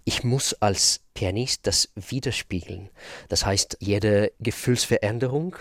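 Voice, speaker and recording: A man's voice: on the low side, no echo, clean studio sound. A man from Russia, a pianist answering questions in a radio interview, thoughtful and searching for words. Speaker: guest pianist.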